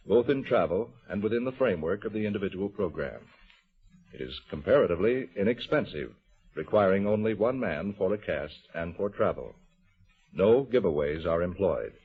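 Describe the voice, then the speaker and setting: A man reading a scripted mid-century radio sales pitch as an announcer, formal and declarative. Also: breathy edge. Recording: muffled old radio recording.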